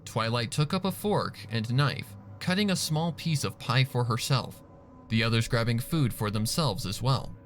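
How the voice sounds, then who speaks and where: A man narrates fan fiction in a dramatic, scripted style. His medium-pitched voice moves expressively up and down. Music plays softly behind him. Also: lively intonation, normal speed. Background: background music.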